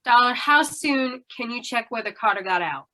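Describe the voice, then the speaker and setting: An actress plays a hard, aging midway woman from a noir radio play. Her voice is raised and sharp, with a forceful ring. She talks in clipped, brassy slang and trusts nobody. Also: a little high, expressive, normal speed. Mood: gruff, wary. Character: tough, suspicious aging carnival owner woman, sharp-tongued. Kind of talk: 1940s radio noir acting.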